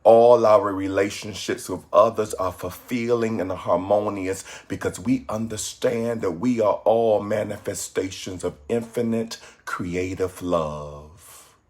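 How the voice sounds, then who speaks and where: A man leading a guided meditation from a script in a calm, measured, soothing way with pauses. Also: lowish voice.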